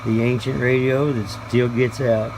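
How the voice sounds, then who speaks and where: Creaky-voiced man, halting and folksy. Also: medium pitch. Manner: hesitant, halting, folksy delivery. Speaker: YouTube hobbyist presenter. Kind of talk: casual show-and-tell. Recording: noisy room with steady background hum.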